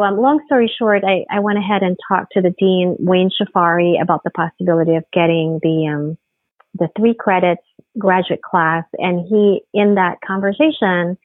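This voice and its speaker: A middle-aged woman from the Philippines, a company head, talking haltingly in a podcast interview with many fillers and restarts. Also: on the low side, dry recording. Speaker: guest, firm CEO. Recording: tinny phone-like line.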